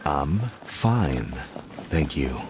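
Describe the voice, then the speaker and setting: An actor playing a reserved middle-aged merchant father in a video game, speaking in a formal, measured, anxious way. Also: very deep voice, sing-song, loud music behind the voice, exaggerated voice.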